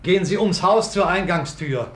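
An actor playing a courteous, matter-of-fact young guesthouse owner in a 1950s radio play. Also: male voice, high-pitched, moderate pace, a bit bright. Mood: polite. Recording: echoey, noisy old radio studio recording.

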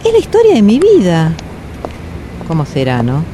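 A woman's voice: on the low side, huge pitch swings, normal speed, mellow, slight background noise, low-quality recording. An actress playing an anguished, self-questioning woman in a radio drama monologue, with a Buenos Aires accent, hesitating and repeating phrases. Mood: rueful, musing. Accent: Buenos Aires Argentine. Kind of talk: radio drama monologue, introspective first-person storytelling.

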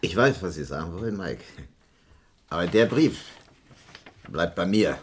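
A man's voice: deep voice, animated, a little slow, a little hiss or hum. An actor playing a cagey, mercenary, stubborn man in a German crime radio play, sly and guarded in manner. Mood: sly, confiding. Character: cagey, mercenary male witness, cynical and stubborn. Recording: vintage radio play recording.

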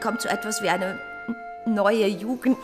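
A woman's voice: mid-range voice, steady tone, measured pace, over music, close to an everyday voice. An actress playing a frank, resilient woman in her thirties in a German radio play, speaking lightly and candidly. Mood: wryly cheerful.

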